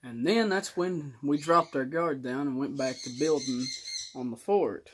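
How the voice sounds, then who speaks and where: A man's voice: medium pitch, measured pace, noisy background. A young man telling a personal story in casual, colloquial speech with some swagger.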